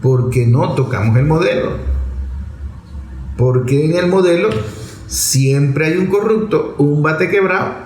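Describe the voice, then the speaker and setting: Venezuelan man, higher-pitched voice, roomy echo. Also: darkish tone. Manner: didactic, repeats phrases for emphasis, asks rhetorical questions. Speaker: political commentator addressing an online audience. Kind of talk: extemporaneous political commentary. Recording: roomy echo with faint background noise.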